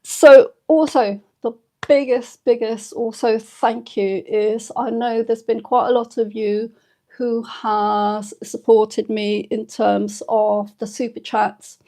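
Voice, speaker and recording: A woman in her fifties chats with her viewers in an unhurried, fairly high voice. She sounds emotional and a little teary, and her soft, hesitant delivery is full of pauses and fillers. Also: level tone. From United Kingdom. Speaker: channel host. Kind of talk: casual live-stream chat with viewers.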